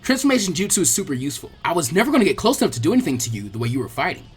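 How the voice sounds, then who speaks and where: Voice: mid-range voice, very animated, racing, husky, faint music behind. A storyteller voicing a good-natured, cocky teenage boy, talking casually and a little boastfully. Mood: impressed, casual.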